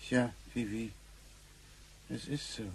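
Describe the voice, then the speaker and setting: An actor playing an older, apologetic, hesitant grandfather with a husky voice in a radio play. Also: mid-range voice, fairly even intonation, slow pace, exaggerated voice, rough recording. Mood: resigned, hesitant. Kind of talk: German radio play acting.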